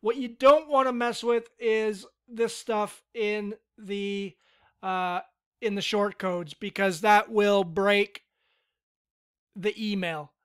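A man giving a relaxed, step-by-step screen-recorded software tutorial with casual fillers. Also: high voice, slow pace, clean tone. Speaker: tutorial instructor.

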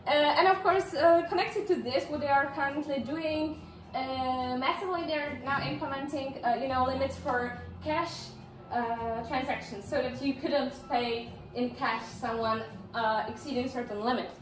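A woman's voice: high voice, fairly even intonation, normal speed. A young woman giving a casual presentation at a meetup, chatty and full of fillers, laughing often as she talks. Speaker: speaker at a meetup. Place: large indoor room with projector screen. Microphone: far camera, no visible mic. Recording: echoey room audio from far off.

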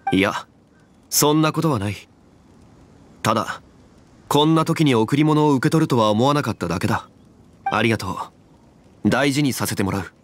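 An actor playing a composed, dignified, knowledgeable man in an anime game, speaking formally and deliberately. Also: mid-range voice, expressive, close to an everyday voice. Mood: calm, courteous.

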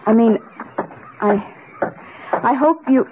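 A woman's voice: on the high side, slow pace. An actress playing a sassy, proud but uneasy young woman, theatrical and faltering, in an old radio drama. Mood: guilty, anxious. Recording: noisy, narrowband old radio recording.

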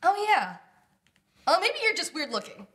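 Woman acting as excitable, high-pitched scheming sister. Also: moderate pace, slight background noise, full character voice. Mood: excited, teasing. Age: young adult. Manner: theatrical, emphatic. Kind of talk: comedy script table read. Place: small indoor room at a table.

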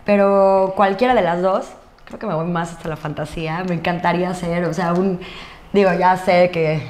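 A Mexican woman in her thirties chatting casually as an interview guest, informal, with drawn-out words and pauses. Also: on the low side, fairly even intonation, a little mellow. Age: 30s. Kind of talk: casual chat. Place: indoor studio set at a desk. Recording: roomy sound, noticeable background noise.

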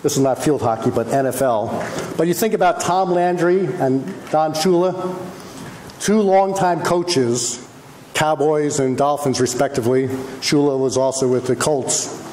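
A man in his sixties, a mayor, reading a proclamation at a council meeting, a bit halting and correcting himself, picked up by a distant camera. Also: a little high, darkish tone, slight background noise. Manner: halting, self-correcting, chuckles at his own slips. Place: large formal council chamber, indoors. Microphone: handheld mic, then unamplified, camera across the room. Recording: echoey hall, distant camera.

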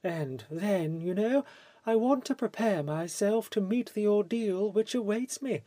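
A man's voice: sits high, animated, unhurried, warm tone, no echo, voice pushed up. A man voicing a vivacious, gushing society actress in a theatrical manner, reading from an audiobook. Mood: chatty, complaining.

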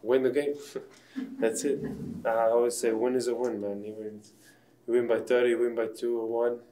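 A man's voice: medium pitch, level tone, moderate pace, background noise. A man in his twenties, a basketball player whose first language is Slovenian, giving short, plain, subdued answers after a game. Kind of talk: post-game press conference. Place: press room backdrop, indoors.

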